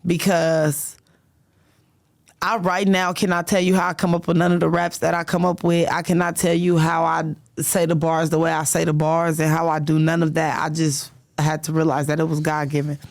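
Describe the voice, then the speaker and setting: A woman's voice: deep voice, flat delivery, moderate pace, vocal fry. Laid-back young woman rapper, candid. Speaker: rapper, guest. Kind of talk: casual interview chat.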